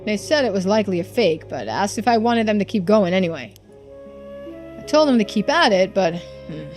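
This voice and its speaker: A woman plays a greedy older collector from a story game, obsessed with living forever. The talk is blunt, folksy and dismissive, in a mid-pitched voice, with faint music underneath. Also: big pitch swings, moderate pace, darkish tone, lightly acted. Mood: grudging. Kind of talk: video game dialogue read aloud.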